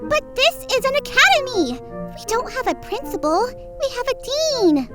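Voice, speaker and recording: Very high little rich schoolgirl. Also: big pitch swings, moderate pace. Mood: puzzled, pedantic. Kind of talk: anime parody dub. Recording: loud music under the voice.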